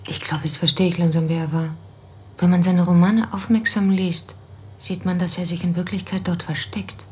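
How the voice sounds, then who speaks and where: Very husky, thoughtful researcher. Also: female voice, fairly low pitch, steady tone, moderate pace. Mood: absorbed, thoughtful. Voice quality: very husky, breathy. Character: absorbed, thoughtful woman researching a mysterious author. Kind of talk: radio play monologue.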